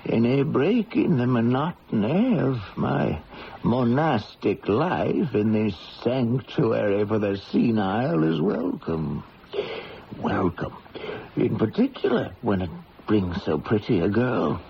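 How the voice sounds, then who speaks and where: A man acting an elderly, wry retired lawyer in a radio drama, with florid, courtly and deliberate speech. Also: mid-range voice, sing-song, vocal fry, exaggerated voice. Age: older. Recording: dull, noisy old radio broadcast.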